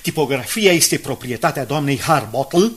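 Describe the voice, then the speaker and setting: A man's voice: mid-range voice, lively intonation, fairly bright tone, husky. An actor playing a brash, confident schemer of a newspaperman in a radio drama, with an emphatic, persuasive delivery. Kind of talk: 1980s Romanian radio drama acting.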